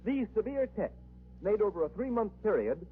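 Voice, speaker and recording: A man's voice: sits high, big pitch swings, moderate pace. A man announcing in emphatic, dramatic 1930s radio style, as for adverts and programme introductions. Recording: tinny old radio recording, faint noise.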